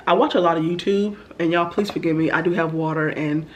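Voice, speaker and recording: A woman's voice: fairly low pitch, slight background noise. A young woman, a bit stuffy from the flu, chatting casually through questions about herself with lots of ums. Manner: chatty, rambling, many ums. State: stuffy, getting over the flu. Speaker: vlogger. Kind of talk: casual get-to-know-me Q&A.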